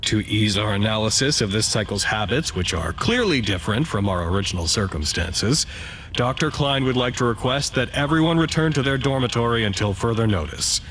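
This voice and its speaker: A man's voice: on the low side, expressive, brisk, a bit bright, slight background noise. A man acting in an audio drama as a weary, earnest staff administrator, speaking in a dry, understated way. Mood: formal announcing.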